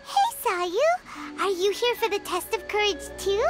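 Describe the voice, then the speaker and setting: Squeaky little anime game fairy girl, bossy n excitable, super high and swoopy. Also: unhurried, over loud music, heavily acted. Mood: curious, chatty. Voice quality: squeaky, childlike. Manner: exclamatory, speaks of herself in third person. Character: tiny excitable floating fairy girl companion, bossy and indignant. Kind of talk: anime video game dialogue.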